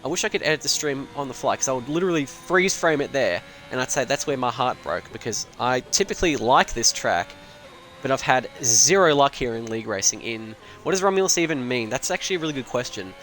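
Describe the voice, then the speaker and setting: A man's voice: mid-range voice, expressive, fairly quick, fairly bright tone. Rambling sim racing commentator man. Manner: rambling, self-deprecating, swears casually. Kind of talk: casual live stream commentary.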